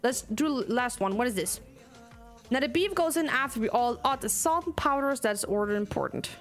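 A young woman from Denmark with a Danish accent and a high, bright voice talks through a cooking game as she streams it. She rants with feeling between reading bits of dialogue aloud. Soft game music plays faintly under her desk mic. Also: moderate pace. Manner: animated, ranting, reads game lines aloud. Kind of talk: let's play commentary.

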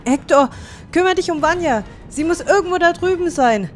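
A woman's voice: very high-pitched, animated, normal speed. A woman playing a feisty, defiant young heroine in a fantasy audio drama. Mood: urgent, defiant. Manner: defiant, forceful. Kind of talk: fan-made fantasy audio drama acting.

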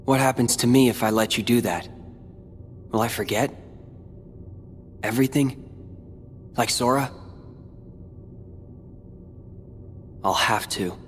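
A man's voice: medium pitch, moderate pace, pauses often, slight background noise, natural voice. A man playing a brooding, proud teenage boy in an earnest, dramatic video game cutscene. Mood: guarded, quiet. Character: brooding, proud teenage boy hero and his tormented replica.

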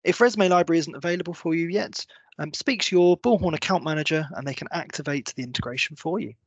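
A man in his thirties presenting a software walkthrough, explaining step by step in a semi-scripted tutorial style. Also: fairly high pitch, fast, dry recording. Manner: clear, step-by-step explanatory delivery. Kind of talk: semi-scripted screen-share tutorial narration.

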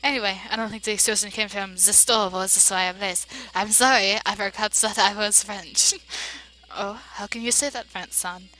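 A woman playing a game, reading its dialogue aloud and reacting with big emotional swings between loud outbursts and hushed narration. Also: mid-range voice, steady tone, moderate pace, faint music behind, rough recording. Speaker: let's play voice-over player.

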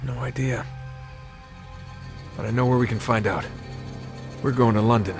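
A man's voice: mid-range voice, steady tone, normal speed, vocal fry, natural voice. Man playing wry adventure hero, music behind. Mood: focused. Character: wry, exasperated male adventurer hero, thirties. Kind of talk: naturalistic video game acting.

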